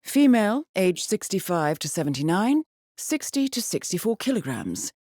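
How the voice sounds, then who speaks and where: An actress playing a composed, shrewd intelligence handler in a video game, briefing an assassin in a measured, formal way with dry understatement. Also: female voice, medium pitch, big pitch swings, a little mellow, dry recording. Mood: composed, informative. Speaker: mission handler guiding an assassin. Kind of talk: scripted video game voice acting, briefing narration.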